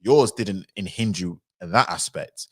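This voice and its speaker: A man with a deep, low voice hosts a relaxed-format discussion. He reasons aloud in a reflective, step-by-step way, and the sound is dry with hardly any room on it. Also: measured pace, slightly rough, no room sound. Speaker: livestream host. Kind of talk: casual conversational discussion.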